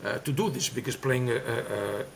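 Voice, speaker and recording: Greek-accented man, gravelly voice, faint hiss under it; emphatic interview guest. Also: medium pitch, moderate pace. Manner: talkative, emphatic, many fillers and restarts. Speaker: guest, former player and coach. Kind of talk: candid interview talk.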